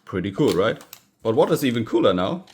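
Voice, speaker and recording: A man casually narrating a hands-on gadget teardown as a video host, informal and halting, often pausing mid-sentence. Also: lowish voice, big pitch swings, darkish tone, a little hiss or hum. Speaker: tech hobbyist video host.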